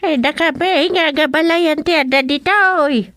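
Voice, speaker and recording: A woman's voice: sits high, a little slow, a bit bright, no room sound, studio quality. A woman playing a fussy elderly village grandmother in an Ilocano radio comedy drama. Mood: fussy, secretive.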